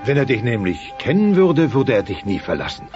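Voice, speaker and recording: A man's voice: medium pitch, big pitch swings, brisk, close to an everyday voice. A voice actor playing a mighty, pompous dinosaur father, blustering and sentimental, in a German dubbed family sitcom audio play. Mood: protective, gentle. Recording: dull cassette transfer with music.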